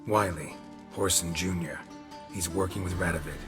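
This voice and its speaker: An actor playing a stoic middle-aged monster hunter in a video game, with a low gravelly voice and a terse, deadpan manner. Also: male voice, normal speed, over music, lightly acted. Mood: terse, businesslike.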